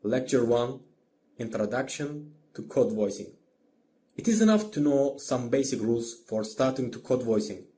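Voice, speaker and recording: A man's voice: medium pitch, warm tone. A man with an Azerbaijani accent narrates a scripted lecture as a teacher, methodically, in careful short phrases. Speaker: orchestration teacher.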